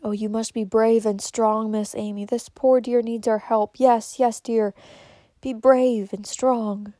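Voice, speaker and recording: A woman voicing a kindly, devout old nurse in a storybook, speaking in a gentle, soothing way. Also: a little high, little pitch movement, takes it slowly, dry recording, studio quality. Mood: tender, devout.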